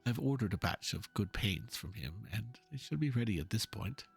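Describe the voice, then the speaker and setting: A man playing an earnest, pompous painter in a dramatic reading of game dialogue, speaking in a florid, halting, theatrical way. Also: fairly low pitch, animated, creaky, breathy edge, heavily acted. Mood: pleading, polite. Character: theatrical, earnest male painter, pompous and fervent about art.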